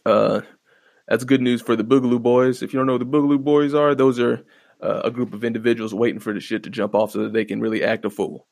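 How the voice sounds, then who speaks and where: A man hosting his own podcast, rambling in a laid-back, profane way with a fairly monotone voice and lots of fillers. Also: mid-range voice, quick pace, vocal fry, no room sound, clean studio sound. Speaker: podcast host. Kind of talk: casual solo podcast commentary. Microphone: close podcast microphone.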